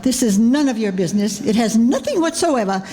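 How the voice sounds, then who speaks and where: A woman's voice: a little high, expressive, fairly quick, dark tone, natural voice. A woman playing a haughty, possessive, wealthy old aunt in an old-time radio drama, commanding and easily indignant. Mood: stern, haughty. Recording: echoey hall stage, background noise.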